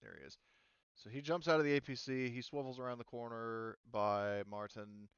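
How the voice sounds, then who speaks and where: A man acting as game master in a casual online roleplaying session, thinking aloud and halting as he checks rules. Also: medium pitch, steady tone, clear, resonant tone, no room sound, lo-fi sound.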